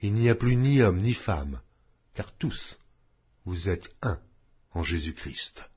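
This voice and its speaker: A man reads scripture aloud with solemn, deliberate weight, his slightly low voice swinging widely in pitch to stress each phrase. His tone is husky and breathy, and the delivery is unhurried. The recording sounds dull and lo-fi. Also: lively intonation. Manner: solemn, measured delivery with emphatic rises. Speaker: Bible reader.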